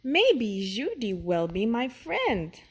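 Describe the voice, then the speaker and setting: A woman's voice: on the high side, wildly swooping pitch, slow pace, noisy background, heavily acted. A woman voicing a curious, eager little boy tiger in a children's storybook, with a wondering, childlike manner. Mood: wistful, wondering.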